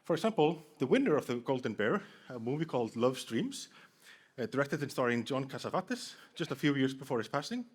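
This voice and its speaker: Lively Icelandic man joking with a crowd. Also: mid-range voice, a little fast, breathy edge. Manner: playful, jokey, bantering with the audience. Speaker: conference speaker. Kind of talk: humorous live conference talk. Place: conference hall stage.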